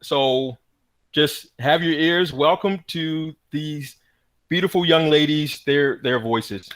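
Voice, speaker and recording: A young man from the United States hosting a student event, speaking in a relaxed, halting way with many pauses and fillers. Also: on the high side. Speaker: host of an online student event. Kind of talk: casual hosting with hesitant fillers.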